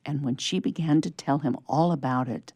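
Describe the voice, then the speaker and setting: A woman narrates a children's story, reading aloud to young listeners. Her voice is low and soft-edged, with some fry, recorded close and dry. The delivery is measured and scripted. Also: no room sound. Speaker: story reader.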